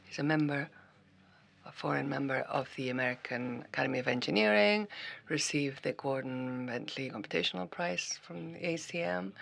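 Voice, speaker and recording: A man's voice: a little high, vocal fry, a bit bright. Wry, chatty man moderating a symposium. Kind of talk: introducing speakers at a conference. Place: lecture hall.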